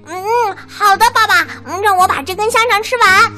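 Give me a woman playing a talkative young male dog with a very high, bright voice. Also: fairly quick, soft background music. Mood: chatty. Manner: chatty, fond of snacks, dreamy. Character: cheerful chatty young male water dog.

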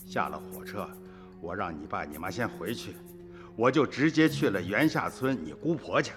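A man's voice: fairly low pitch, expressive, normal speed, soft background music, lightly acted. An actor playing a principled, earnest old farmer and grandfather in a radio drama, speaking in a plain, folksy way. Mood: reflective.

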